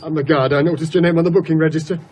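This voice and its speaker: An actor playing a deferential, uneasy railway guard, plain-spoken, in a radio horror drama. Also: male voice, a little high, steady tone, very fast, darkish tone, close to an everyday voice. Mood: polite, urgent.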